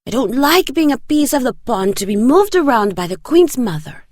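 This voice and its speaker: A woman's voice: high voice, very animated, normal speed, no echo, clean studio sound. A woman playing an indignant, naive young woman in an audio drama, petulant and aggrieved. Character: indignant, naive young woman who muddles her idioms.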